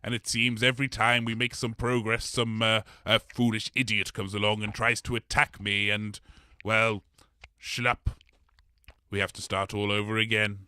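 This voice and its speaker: A man's voice: on the low side, flat delivery, moderate pace, sharp tone, clear, resonant tone, dry recording. A man playing a polite, bumbling blob creature in a comedy audio drama, hesitant, rambling and apologetic. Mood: genial, hesitant.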